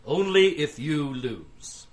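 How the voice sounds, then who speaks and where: A man's voice: fairly high pitch, lively intonation, slow pace. An actor playing a smug, wealthy businessman giving curt, haughty testimony in an audio drama. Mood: smug, guarded. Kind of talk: audio drama courtroom acting.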